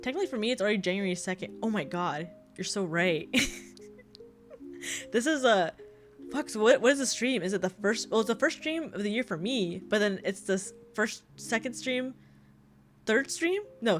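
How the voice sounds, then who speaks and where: A young woman chats with her stream audience, a bit stuffed-up and low on energy. She rambles from story to story and giggles often, her voice sitting at an ordinary middle pitch. Soft music plays faintly behind her. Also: expressive, moderate pace. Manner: rambling, giggly, chatty with viewers. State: congested. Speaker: VTuber streamer. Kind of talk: casual livestream chat.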